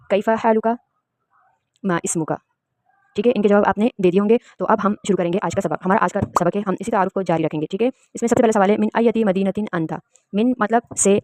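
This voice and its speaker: A woman's voice: mid-range voice, darkish tone, no echo, studio quality. A woman from Pakistan, teaching an Arabic lesson and patiently explaining each word. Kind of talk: spoken language lesson.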